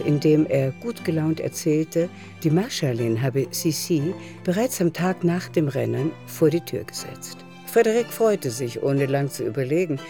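A woman narrates a literary radio play. Her voice is mellow and relaxed with a gravelly creak, over faint music. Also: deep voice.

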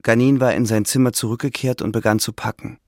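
A man narrating a literary radio drama in a measured, reflective way. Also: mid-range voice, steady tone, vocal fry, darkish tone, no room sound, clean studio sound.

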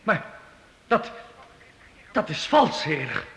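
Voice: medium pitch, big pitch swings, slow, fairly bright tone, full character voice. An actor playing a captive serf boy, polite but defiant, in a historical radio drama. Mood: pleading, indignant. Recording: echoey hall, reverberant.

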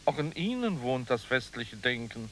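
An actor in a German radio play playing a pompous, glib doctor who lectures and reassures as a rational analyst. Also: male voice, medium pitch, sing-song, moderate pace, fairly bright tone, low-quality recording. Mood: smugly reassuring.

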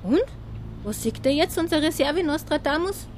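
An actress playing a quick-witted, middle-aged Bavarian shopkeeper with a dry, sharp tongue in a radio drama. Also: female voice, high-pitched, expressive, a little hiss or hum. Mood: mocking banter. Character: quick-witted, thick-skinned female small-town shopkeeper. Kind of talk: radio drama acting in dialect.

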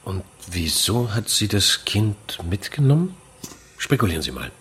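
An actor playing a dry, worldly police commissioner in a German radio crime drama, husky and measured. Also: male voice, lowish voice, sing-song, fairly bright tone, heavily acted. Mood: businesslike. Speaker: police investigator.

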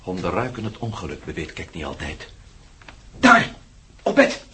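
A man's voice: deep voice, huge pitch swings, moderate pace, pushed and bright, heavily acted. An actor playing a headstrong, impulsive man who insists urgently, in a Dutch radio play. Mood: tense. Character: headstrong, urgent man investigating a mysterious stone, just recovered. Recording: noisy radio drama recording.

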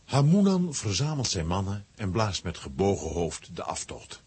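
A man's voice: sits low, very animated, normal speed, a little mellow, husky, no room sound, exaggerated voice. A man narrating a children's adventure radio play as storyteller. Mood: storytelling. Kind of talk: scripted dramatic narration for children.

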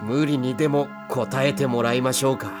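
An actor playing a stern male interrogator in an audio drama, questioning in a formal, measured, insistent manner. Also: mid-range voice, steady tone, lightly acted. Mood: cool, insistent. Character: stern, controlled male interrogator, coolly jealous lover. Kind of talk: Japanese audio drama acting. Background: music.

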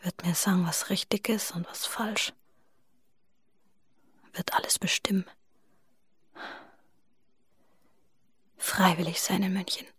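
A woman's voice: lowish voice, fairly even intonation, normal speed, fairly bright tone, husky, dry recording, whispered. An actress playing a defiant, dreamy young country woman in a radio drama, speaking as if in a secret inner monologue. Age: young adult. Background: music.

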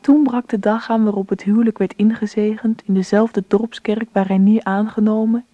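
A woman's voice: medium pitch, fairly even intonation, normal speed, no echo, close to an everyday voice. A woman playing a composed narrator telling her family's story in a Dutch radio drama. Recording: dull dry old radio recording.

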